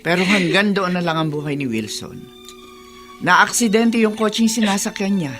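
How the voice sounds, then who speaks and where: A woman's voice: lowish voice, animated, normal speed, a bit bright, faint music behind. A woman playing an anxious, protective middle-aged mother in a radio drama, hesitant and fretful. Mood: sorrowful, consoling. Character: anxious, protective older mother of grown daughter. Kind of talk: Tagalog radio drama acting.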